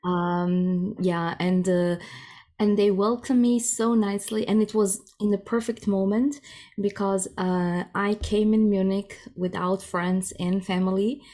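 A woman's voice: medium pitch, fairly even intonation, unhurried, dark tone. Chatty, giggly female interview guest, Croatian accent, casual conversational delivery, mid-range voice.

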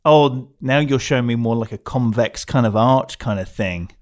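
A man hosting a casual interview, chatty and playful, with quick asides. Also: mid-range voice, darkish tone. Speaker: podcast host.

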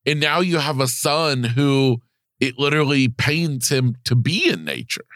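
A man's voice: mid-range voice, a little slow, a bit bright, dry recording. Man hosting an interview, dry sound. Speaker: podcast host. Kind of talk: interview conversation.

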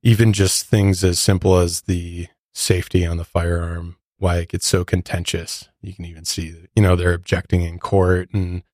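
A man's voice: sits low, level tone, moderate pace, few pauses, darkish tone, no room sound, studio quality. A man co-hosting a podcast, explaining things in casual chat. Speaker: podcast co-host.

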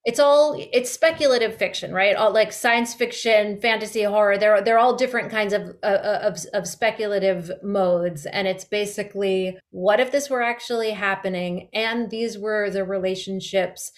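A woman's voice: mid-range voice, normal speed, hardly any pauses. A woman giving thoughtful, conversational interview answers, piecing her thoughts together as she goes. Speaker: audio drama artist and interview guest.

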